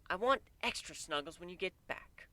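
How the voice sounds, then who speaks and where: A man voicing a playful, clingy, easily flustered female pegasus pony in a story, softly and breathily. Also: high-pitched, animated, normal speed, dry recording, voice pushed up, heavily acted. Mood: pouting.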